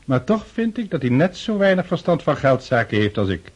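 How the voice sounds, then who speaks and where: An actor playing a genial, talkative middle-aged count in a Dutch radio drama. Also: male voice, fairly high pitch, big pitch swings, brisk, slightly rough. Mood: genial, musing. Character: genial older Russian count, talkative, careless with money. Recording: muffled old radio recording, music behind.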